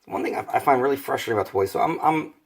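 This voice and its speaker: A hoarse man, rambling casually through a toy collector's unboxing video. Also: mid-range voice, lively intonation, racing. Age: 30s. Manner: rambling, many fillers and restarts. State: hoarse from bronchitis.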